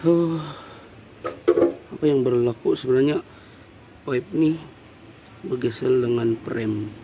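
A man's voice: medium pitch, slow. Malaysian guy in his 30s walking through a car aircon fix on his phone, plain talk with lots of uh, noisy garage around him. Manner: plain, step-by-step explaining with fillers. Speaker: car air-conditioning mechanic. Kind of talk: casual how-to repair vlog. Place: car repair workshop, indoors. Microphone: handheld phone camera. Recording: noisy workshop background.

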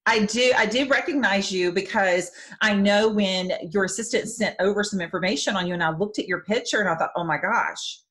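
A woman's voice: mid-range voice, a bit bright. A woman podcast host with a Southern American accent, chatting enthusiastically with her guest. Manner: chatty, enthusiastic, quick asides. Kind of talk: casual interview chat.

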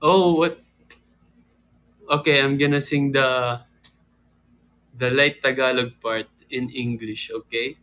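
A man's voice: medium pitch, steady tone, slow pace, long pauses, fairly bright tone. A young man hosting a casual phone livestream from home, chatting with viewers and breaking into playful sung lines. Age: young adult. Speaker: livestream host. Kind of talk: casual livestream chat with singing. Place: home room indoors. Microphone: phone camera close up.

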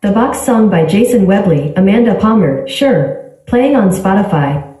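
A synthetic woman's voice from a smart speaker, giving even, polite, clipped replies. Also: medium pitch, measured pace. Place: small tiled bathroom. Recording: echoey bathroom, heard from a distance.

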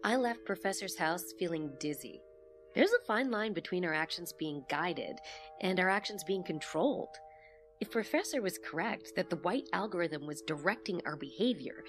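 A woman's voice: medium pitch, natural voice. A woman playing an earnest, sceptical young confidante in an audio drama, speaking in a reflective, questioning way. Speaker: narrator and actor in an audio drama. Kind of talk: audio drama dialogue and first-person narration. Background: music.

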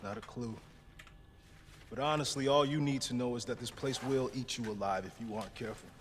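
An actor playing a wounded middle-aged male police lieutenant, gruff, weary and commanding. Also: medium pitch, brisk, natural voice. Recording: reverberant game audio with faint noise.

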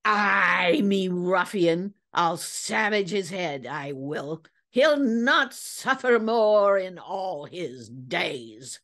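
A wild, ragged old sailor , as a woman narrator puts him on, pitched well up and creaky. He rants and snarls in salty whaling talk, a frantic storybook character with a shrill edge. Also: takes it slowly, lightly acted. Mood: ranting, vengeful. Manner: salty nautical ranting, shrieking and snarling. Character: crazed, ragged old whaler, a bearded male escaped captive.

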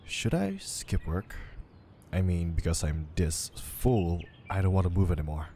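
A man's voice: sits low, expressive, measured pace, warm tone, slight background noise. A man playing a playful, flustered, affectionate young boyfriend in an ASMR roleplay, speaking intimately and breathily, often near a whisper. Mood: lazy, playful.

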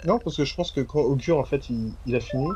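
A man's voice: mid-range voice, fast talker, dull audio. A man bantering casually with friends in online game voice chat. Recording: very noisy voice chat with clicks.

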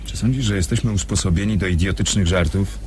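Brisk, impatient officer for radio drama, male actor, low-pitched, curt, over audible background noise. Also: darkish tone, lightly acted. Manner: curt, businesslike. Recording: noisy recording with background ambience.

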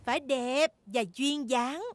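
A woman voicing a graceful gazelle ballet teacher in a children's cartoon dub, instructing with drawn-out emphasis. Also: high voice, steady tone. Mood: instructive, encouraging. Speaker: dance teacher character. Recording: music under the voice.

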